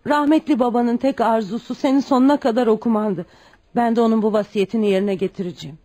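A woman acting as a self-sacrificing widowed mother in a radio play. Her voice sits fairly high and is soft-edged and mellow, and she pleads with tender, emphatic devotion. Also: quick pace, lightly acted. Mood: firm, tender. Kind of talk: scripted radio drama acting.

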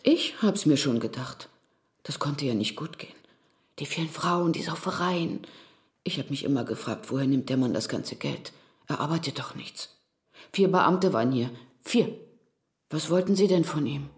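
A woman's voice: deep voice, expressive, normal speed. An actress playing a gossipy, disapproving female neighbour in German radio drama, nosy and knowing in manner. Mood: gossiping, disapproving.

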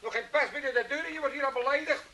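A man's voice: extremely high pitch, very fast, bright, forceful tone, slight background noise, low-quality recording. A man playing a cheeky, self-pitying elderly father with an Amsterdam accent in a comedy radio play, rambling and stammering in a folksy, blustering way. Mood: indignant. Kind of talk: 1980s Dutch comedy radio play acting.

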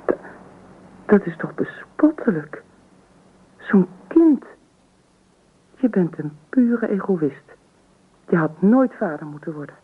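An earnest young wife, tinny sound. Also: female voice, lowish voice, expressive, slow, pauses often, breathy edge, heavily acted. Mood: indignant. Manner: earnest, insistent. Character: earnest, pleading young wife and worried mother. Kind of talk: naturalistic radio drama acting. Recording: old radio play, phone-like sound, noisy.

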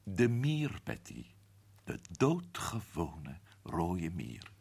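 A man playing a shrewd man explaining the solution of a mystery in a radio play, husky and measured. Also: deep voice, wildly swooping pitch, a little mellow, heavily acted. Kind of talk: radio drama acting.

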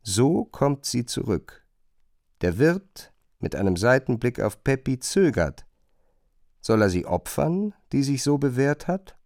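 Expressive male literary narrator, dry sound. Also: medium pitch, slow, creaky, no echo. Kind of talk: literary narration in a radio play.

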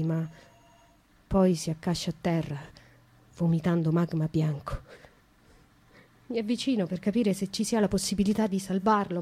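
An actress voicing a haunted young woman, hushed. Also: medium pitch, breathy edge, close to an everyday voice. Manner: slow, intimate, hushed narration. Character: haunted young woman recounting dark dreams. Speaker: actress in a radio monologue. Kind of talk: theatrical radio drama monologue.

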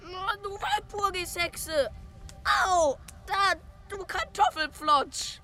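An actress playing a squabbling little twin brother in a German radio drama, taunting in a childish, repetitive way. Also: female voice, extremely high pitch, slow, pushed and bright, soft background music, heavily acted. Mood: defiant.

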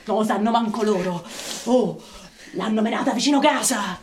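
A woman's voice: mid-range voice, moderate pace, close to an everyday voice. A woman playing a placating, level-headed Roman in a radio drama, with a Roman Italian accent and a coaxing, colloquial manner. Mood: worried, calming. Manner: colloquial, coaxing, ends in tag questions. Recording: echoey room.

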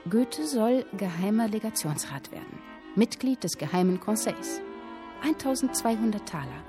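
A woman performing literary narration in a radio drama, telling a historical tale in a deliberate, dramatic way with clipped phrases. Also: mid-range voice, expressive, close to an everyday voice. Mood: dramatic, wry. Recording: music under the voice. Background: music with violin.

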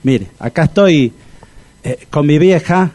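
A naive old argentine bachelor, stammers and rambles, very lively swoopy pitch, radio comedy. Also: male voice, slow pace. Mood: eager, proud. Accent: Rioplatense Argentine. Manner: stammering, rambling, repeats himself. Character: bumbling, chatty, naive older bachelor looking for love. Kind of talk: radio comedy sketch acting.

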